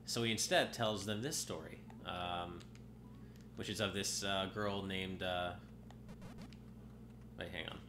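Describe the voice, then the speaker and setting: A man's voice: sits low, slow pace, long pauses, noisy background. A man streaming a video game, chatting casually in a slightly nasal voice and often breaking off mid-sentence as he plays. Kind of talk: casual live-stream gameplay commentary. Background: game music.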